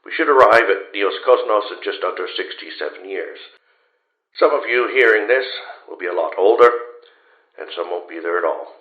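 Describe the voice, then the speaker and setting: An actor playing a sober, caring starship commander in a sci-fi radio play, heard through a radio transmission filter. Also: male voice, low voice, expressive, moderate pace. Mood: solemn. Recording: echoey, narrow phone-like radio transmission.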